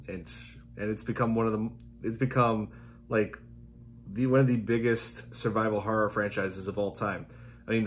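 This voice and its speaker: A man in his thirties chatting casually as a reaction host, informal and halting, often repeating himself. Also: mid-range voice. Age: 30s. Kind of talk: casual reaction chat to camera. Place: small indoor room at home.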